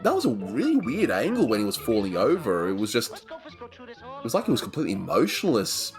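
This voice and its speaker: A man's voice: mid-range voice, wildly swooping pitch, brisk, faint music behind. A man hosting a casual animation review, chatty and analytical, with frequent restarts. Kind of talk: casual cartoon review commentary.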